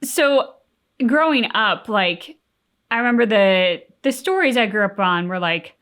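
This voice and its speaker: A woman co-hosting a casual, reflective reaction chat, phrasing her thoughts in a measured way. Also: medium pitch, animated, a bit bright. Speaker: reaction channel co-host. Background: faint game music.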